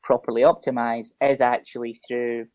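A man's voice: mid-range voice, flat delivery, normal speed, no room sound. A man with a Scottish accent, a guest chatting casually on a podcast with a lot of filler ums. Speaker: SEO guest. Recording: dull lo-fi podcast audio.

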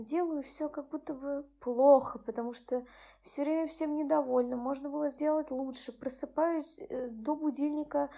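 A tired young woman hosting her own channel, speaking softly and subdued as she reads a bedtime story and chats about her day. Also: gravelly. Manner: soft, subdued, low-key. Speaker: channel host. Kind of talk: bedtime story reading and personal chat.

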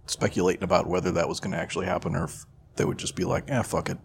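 A man's voice: low-pitched, little pitch movement, rapid-fire, creaky, slight background noise. A man co-hosting a podcast, talking casually and slangily about pop culture with some swearing. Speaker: podcast co-host.

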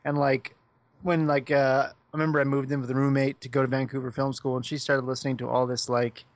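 A young man co-hosting a casual comedy podcast with a smooth voice, laid-back, laughing often and swearing a lot. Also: medium pitch, level tone, fairly quick, no echo. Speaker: podcast co-host.

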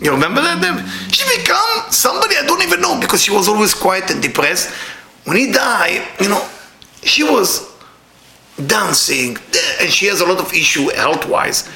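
A middle-aged man with an Israeli accent giving a spiritual lecture to a live audience. He speaks with non-native grammar and jumps up high when he acts out what other people said. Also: very animated, normal speed, gravelly, bright, forceful tone, reverberant.

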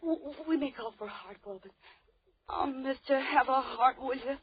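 Radio horror ingenue , female actor: high, frightened, halting pleas, muffled vintage recording. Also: close to an everyday voice. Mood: frightened pleading. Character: frightened young woman pleading for her life. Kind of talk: 1930s radio horror acting. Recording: dull old radio broadcast recording.

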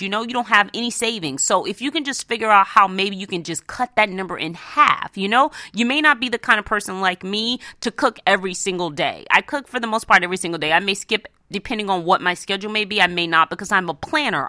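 A woman's voice: mid-range voice, fairly quick, few pauses, sharp tone, dry recording, clean studio sound. A woman hosting a radio show, giving conversational, motivational advice in an emphatic way, repeating phrases to drive points home.

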